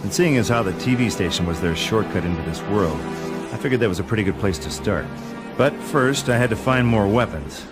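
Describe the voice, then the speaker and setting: A man's voice: fairly low pitch, a little fast, lightly acted. A man playing a cynical, wisecracking monster fighter in a video game, sardonic and cocky. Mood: grim, wry. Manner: sarcastic, cocky, deadpan. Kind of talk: sardonic action game dialogue and narration. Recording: music behind the voice.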